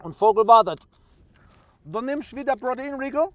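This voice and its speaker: A man's voice: extremely high pitch, expressive, moderate pace, fairly bright tone, no echo. A man playing a boastful self-proclaimed bird photographer who speaks broken German mixed with English. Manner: boastful, stumbling over words. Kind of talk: parody how-to video.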